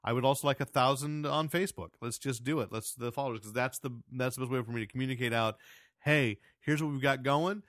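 A man with a loud, carrying voice rambles through podcast plugs and chat, correcting himself as he goes. His voice sits in a middle pitch. The sound is dry and close. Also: fast talker, no room sound. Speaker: podcast host and editor.

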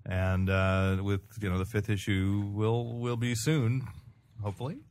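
An American man hosting a casual podcast chat, speaking in a halting, hedging way. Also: on the low side, fairly even intonation, darkish tone, clear, resonant tone. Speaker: podcast host. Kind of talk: casual chat about comics and pop culture.

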